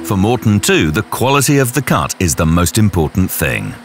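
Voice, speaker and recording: Deep, dramatic male narrator. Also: very animated, moderate pace, background noise. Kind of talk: scripted promotional narration.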